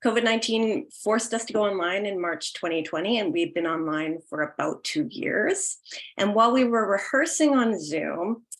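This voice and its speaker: A woman's voice: mid-range voice, animated, normal speed. A woman with a Canadian accent giving an informal online presentation as a theatre director, in a friendly, welcoming way. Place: indoors, online video call.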